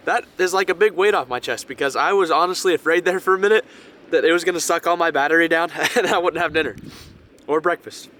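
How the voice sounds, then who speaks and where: A young man with a cold-raspy voice chatting excitedly to camera while car camping by a lake, casual and full of "dude" and "like". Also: on the high side, slight background noise. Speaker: vlogger. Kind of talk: casual vlog chat to camera.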